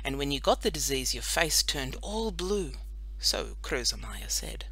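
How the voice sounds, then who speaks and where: A woman narrating a children's audiobook in an expressive, scripted storytelling style. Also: very deep voice, a little slow, husky, no echo, poor audio.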